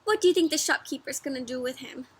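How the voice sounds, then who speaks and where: A woman doing an interactive read-aloud for young children, expressive and encouraging, often putting questions to her listeners. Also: high voice, fast talker. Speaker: children's storybook read-aloud host and teacher.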